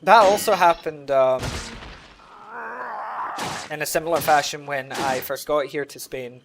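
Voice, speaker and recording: A man's voice: on the high side, a little slow, background noise. A man streaming a game and chatting loosely with his live audience, rambling with lots of filler words. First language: English. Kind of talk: casual chat with a live audience while gaming.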